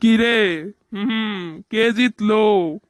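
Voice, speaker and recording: A very high-pitched cartoon village boy played by a man, smug and bossy. He taunts and gives orders in everyday colloquial speech. The sound is dry and close. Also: very slow, smooth, no echo. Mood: argumentative. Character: bossy, teasing young village boy who wins a bet. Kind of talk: cartoon voice acting.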